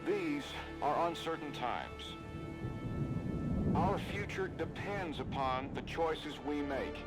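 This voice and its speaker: An actor playing a grave speaker warning of global crisis in a video game intro, measured and portentous. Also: male voice, fairly high pitch. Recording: very loud music over voice.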